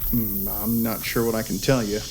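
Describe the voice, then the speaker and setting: A man's voice: on the low side, level tone, normal speed, natural voice, rough recording. A man playing a guarded, folksy spaceship first mate under questioning in an audio drama.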